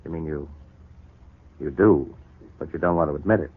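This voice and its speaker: Guilt-ridden husband, vintage radio serial. Deep, deliberate, tender, narrow-band old recording. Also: male voice, husky, no room sound. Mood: earnest, pressing. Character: troubled, guilt-ridden, grateful husband and father. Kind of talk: 1940s radio soap opera acting. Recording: muffled, narrow 1940s radio broadcast recording.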